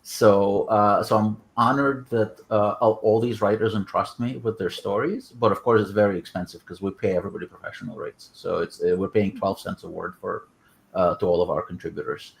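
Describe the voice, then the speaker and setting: Low-pitched, gravelly man on a call. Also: fairly even intonation, fairly quick. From Odessa, Ukraine. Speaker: writer, translator and anthology editor. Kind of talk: casual project introduction on a video call. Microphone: video call microphone.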